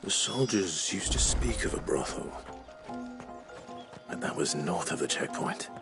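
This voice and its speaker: Brooding game hero, bottom-register voice, unhurried, measured, polite yet wary. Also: male voice, animated, vocal fry, loud music behind the voice, full character voice. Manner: terse, low-key, measured. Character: brooding, guarded male fugitive swordsman, polite but wary. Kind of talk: dramatic video game acting.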